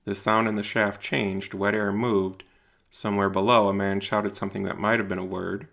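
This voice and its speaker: A man narrating scripted dramatic fiction in a solemn, deliberate storytelling manner. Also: on the low side, flat delivery, gravelly, fairly bright tone.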